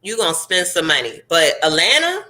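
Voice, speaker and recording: A woman's voice: on the low side, a little fast. Chatty congested woman hosting a live chat. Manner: chatty, animated, laughs often. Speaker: host.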